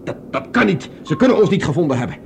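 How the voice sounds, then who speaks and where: An actor playing a self-assured, impatient space pilot in a Dutch radio drama, brisk and commanding as he explains things. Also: fairly high pitch, sing-song, slight background noise. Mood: urgent, alarmed.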